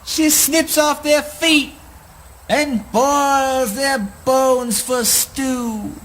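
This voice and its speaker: An actor playing an eccentric, childlike, morbid son in a spoken word play, in a singsong, drawn-out, taunting manner. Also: male voice, very high-pitched, fairly even intonation, fairly bright tone, slight background noise, full character voice. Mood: gleeful, morbid.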